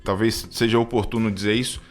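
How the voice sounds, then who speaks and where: A Brazilian man in his thirties giving personal testimony in conversational talk, reflective and halting, often repeating words as he goes. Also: fairly low pitch, little pitch movement. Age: 30s. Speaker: radio show co-host.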